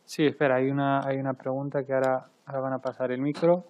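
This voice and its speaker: Male event moderator, medium pitch, even intonation, informal delivery on dull call audio. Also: quick pace. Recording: dull sound relayed over video call.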